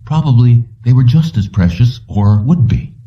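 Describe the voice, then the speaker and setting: A man's voice: lively intonation, normal speed. A man reading a science fiction story aloud as scripted audiobook narration. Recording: distant, dull low-fidelity audio.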